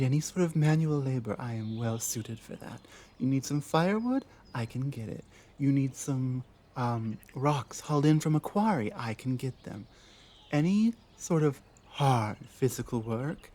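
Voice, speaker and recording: A man playing an earnest, honorable orc fugitive in an ASMR roleplay, speaking in a hesitant, halting, humble way. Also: on the high side, mellow. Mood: humble, pleading. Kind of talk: ASMR roleplay audio drama.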